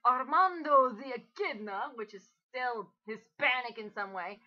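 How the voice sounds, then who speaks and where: A woman's voice: fairly high pitch, expressive, measured pace. A woman chatting casually and reading aloud with sarcastic, exasperated commentary, recorded on a muffled lo-fi home mic. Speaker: co-host of a mock dramatic reading.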